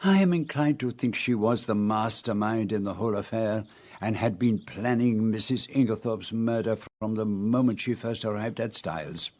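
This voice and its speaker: A man playing a shrewd, theatrical Belgian detective in a radio drama, explaining deliberately and precisely in a Belgian French accent. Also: fairly low pitch, darkish tone, lightly acted. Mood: explaining, assured.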